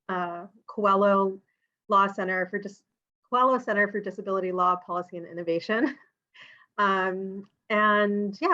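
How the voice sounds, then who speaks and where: A woman's voice: medium pitch, bright, forceful tone, highs cut off. A woman law professor introducing herself on a video call, hesitant and correcting herself. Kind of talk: panel self-introduction.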